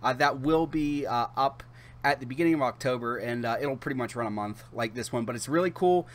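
A man in his thirties hosting a channel, talking casually to camera in a chatty, halting way with drawn-out pauses. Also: medium pitch. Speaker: YouTube channel host. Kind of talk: casual direct-to-camera giveaway announcement.

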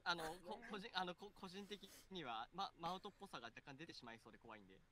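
Woman, polite, hesitant, lively pitch, casual discussion, faintly noisy recording. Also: quick pace. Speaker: voice actor.

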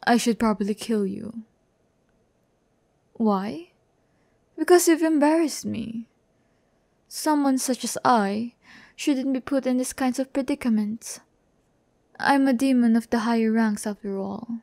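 A woman playing a slow, haughty demon girl. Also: fairly high pitch, gravelly, dark tone, dry recording. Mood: haughty, menacing. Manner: slow, condescending, teasing, often dropping to a whisper. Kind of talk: breathy ASMR audio roleplay. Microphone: close microphone, dry sound.

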